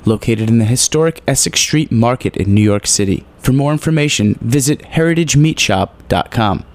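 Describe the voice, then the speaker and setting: A man's voice: mid-range voice, lively intonation, a little fast, a little hiss or hum. A man reading a scripted station promo as an announcer, with music behind the voice. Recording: music under the voice.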